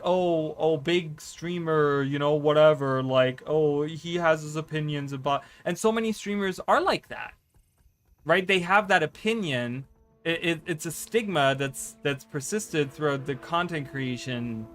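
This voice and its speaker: A man's voice: a little high, a bit bright. A man whose first language is German, chatting casually on a live stream, rambling with stammered restarts and a habit of asking "right?". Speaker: streamer and content creator.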